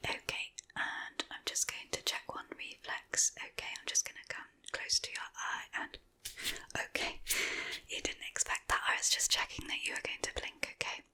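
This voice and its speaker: A woman whispering softly and gently through an ASMR medical exam roleplay, reassuring the listener as her patient. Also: moderate pace. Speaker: ASMR creator playing a doctor.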